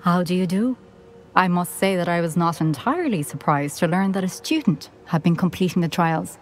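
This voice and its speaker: A woman's voice: fairly low pitch, normal speed, lightly acted. A woman playing a dry-witted old headmistress. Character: dry, witty former headmistress portrait. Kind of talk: video game dialogue.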